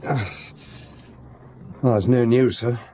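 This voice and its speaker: An actor playing a keen, deferential young police detective in a radio drama. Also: male voice, mid-range voice, steady tone, slow pace, dark tone, slight background noise, close to an everyday voice. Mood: deferential, alert. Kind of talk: British radio drama acting.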